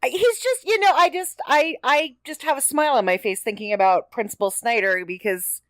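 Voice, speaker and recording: A woman co-hosting a casual podcast chat about television, talking with gushing, animated enthusiasm. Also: sits high, brisk, dry recording. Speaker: podcast co-host. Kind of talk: casual TV episode discussion chat.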